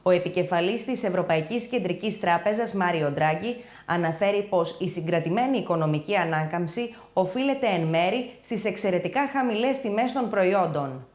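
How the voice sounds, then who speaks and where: Fast-reading female news presenter, roomy. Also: fairly low pitch, lively intonation, a little mellow.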